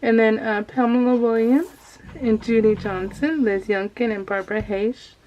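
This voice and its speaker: A woman's voice: on the high side, steady tone, normal speed, a little mellow. A woman hosting a casual craft vlog, chatting off the cuff in a rambling, gushing way and often interrupting herself. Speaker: craft video host. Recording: dull lo-fi home recording, faint noise.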